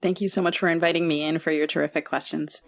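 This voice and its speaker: A woman's voice: low-pitched, fairly even intonation, fast talker, vocal fry, loud music behind the voice. A woman speaking as an author in an interview, giving articulate, carefully qualified answers with emphatic repetition. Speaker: author, podcast guest. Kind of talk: thoughtful conversational interview answers.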